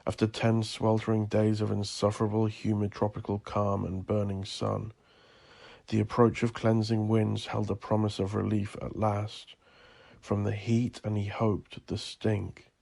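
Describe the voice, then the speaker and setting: A man with a low, creaky voice reads scripted dark fiction. His delivery is deliberate and literary, staying within a narrow pitch range. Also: completely flat delivery. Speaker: narrator.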